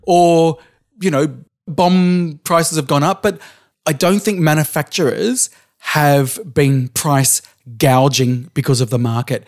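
A man's voice: a little high, slow, darkish tone. A man with an Australian accent co-hosting a podcast, arguing his points insistently and swearing casually. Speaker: podcast co-host.

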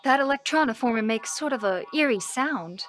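A woman's voice: fairly high pitch, expressive, normal speed, fairly bright tone. A young woman amateur playing a curious, admiring scientist's assistant in an old-time radio serial, inquisitive and wide-eyed. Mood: supportive. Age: young adult.

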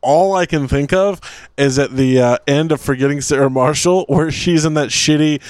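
A man's voice: a little high, normal speed, a little mellow, clear, resonant tone, no echo. A man co-hosting a casual podcast chat, joking, swearing and laughing often. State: tired. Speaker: podcast co-host.

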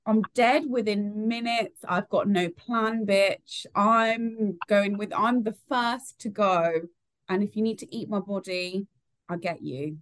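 A woman's voice: mid-range voice, fairly even intonation, slow pace. A woman guest on a podcast, chatting casually in a jokey, self-deprecating and sweary way. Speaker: podcast guest, actor and writer. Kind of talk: casual chat.

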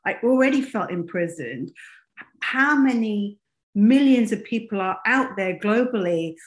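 A woman's voice: mid-range voice, lively intonation, a little slow. Earnest woman in an echoey room. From raised in England. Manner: earnest and open, speaks frankly. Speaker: life coach and podcaster, guest. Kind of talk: interview storytelling.

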